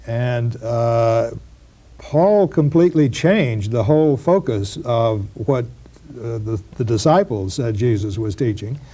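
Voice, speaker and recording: A man being interviewed as a professor, explaining history in a halting way with many fillers and restarts, in a 1990s broadcast interview. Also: mid-range voice, expressive, dark tone.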